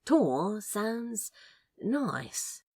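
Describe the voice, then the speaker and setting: A woman's voice: mid-range voice, very animated, drawn-out words, dark tone, husky, full character voice. A woman voicing a laid-back, shyly charmed man with a casual drawling manner in a fan audio drama.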